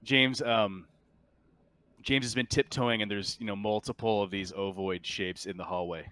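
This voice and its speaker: A man improvising narration as game master of a tabletop game over group voice chat, halting and often restarting his phrases. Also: lowish voice, fairly even intonation, sharp tone, clean tone, no room sound.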